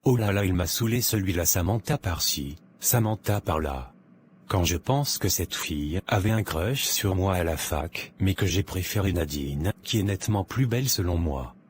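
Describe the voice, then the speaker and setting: A man playing an irritable, self-assured and covetous married man in an animated drama, with a dismissive, grumbling manner. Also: low-pitched, moderate pace, slight background noise. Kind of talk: scripted animated drama dialogue.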